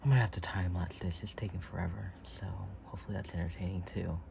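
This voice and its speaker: Low-voiced rambling young man vlogging. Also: steady tone, quick pace. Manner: rambling, loose and cursing, with many fillers. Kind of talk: casual chat. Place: small home gym and room with colored lights, indoors. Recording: clicks and clear room noise.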